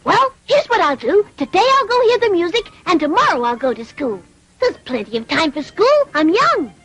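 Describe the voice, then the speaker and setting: A woman's voice: very high voice, sing-song, normal speed, a bit bright, soft background music, heavily acted. A woman voicing a naive, eager wooden puppet boy in a dubbed cartoon, childlike and earnest. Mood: wistful, scheming.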